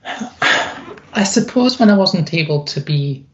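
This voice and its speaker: A middle-aged woman with a deep, gravelly voice, speaking earnestly and reflectively as an interview guest, repeating phrases for emphasis. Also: expressive, slow pace, muffled recording.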